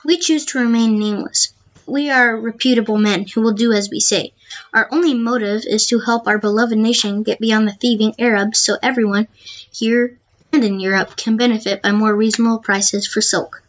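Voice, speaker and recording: A dull, lo-fi recording of a calm, confident monk in an audio play, acted by a woman. The voice is fairly high, with measured and formal phrasing. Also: close to an everyday voice. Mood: earnest, respectful. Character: calm, confident male monk on a secret mission. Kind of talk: amateur audio play acting.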